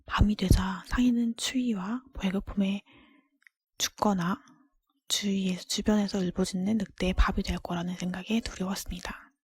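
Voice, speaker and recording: A woman reading a storybook in a soft, breathy ASMR whisper, gentle and careful. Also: mid-range voice, steady tone, normal speed, no room sound. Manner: hushed, gentle, careful narration. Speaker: storybook reader.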